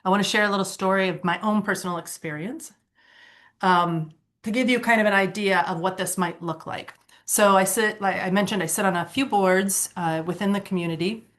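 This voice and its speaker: A woman's voice: medium pitch, brisk, fairly bright tone. A woman from Ohio leading a nonprofit board training workshop, talking conversationally with fillers and self-corrections, and getting enthusiastic when she tells her own story. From Ohio, USA. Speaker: workshop presenter.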